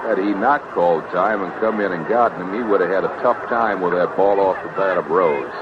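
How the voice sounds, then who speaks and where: A man's voice: sits low, fairly quick, muffled recording. Male baseball announcer on noisy vintage radio. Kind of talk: radio sports commentary.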